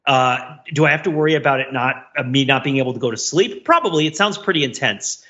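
A man's voice: medium pitch, animated, fast, sharp tone, muffled recording. A middle-aged American man chatting casually as a podcast co-host, rambling and questioning himself as he goes. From United States.

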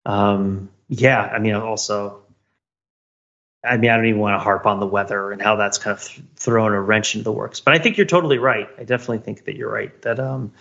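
A man's voice: lowish voice, steady tone, a little fast, vocal fry, bright, forceful tone, lo-fi sound. A man co-hosting a podcast in casual, conversational banter. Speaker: podcast co-host.

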